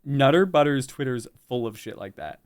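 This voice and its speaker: A man's voice: medium pitch, expressive, moderate pace, clear, resonant tone. A man co-hosting a live stream, chatting casually and joking. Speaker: streamer and co-host.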